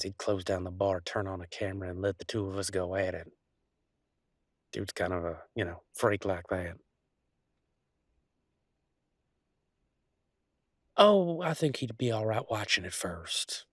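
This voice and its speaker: A man playing an easygoing, affectionate country werewolf boyfriend, talking in a folksy, halting way in an intimate roleplay audio. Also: sits low, no room sound, studio quality. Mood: teasing, fond. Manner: folksy, drawling slang, halting asides.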